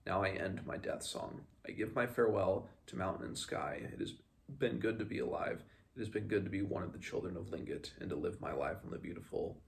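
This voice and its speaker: A solemn old male shaman read by a man recites in a low, creaky voice. The delivery is formal and grave, like a storybook death song. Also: a little fast. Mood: solemn farewell.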